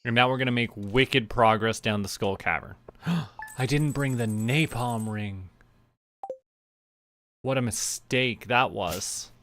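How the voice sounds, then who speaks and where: Slow-paced man with a bright voice. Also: mid-range voice, dry recording. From Canada. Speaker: game streamer. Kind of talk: relaxed casual game commentary, thinking aloud.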